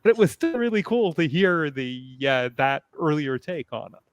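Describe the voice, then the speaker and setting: A man speaking as a panelist in a fan discussion, hesitant and thoughtful, often halting as he phrases things. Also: a little high, lively intonation, no room sound.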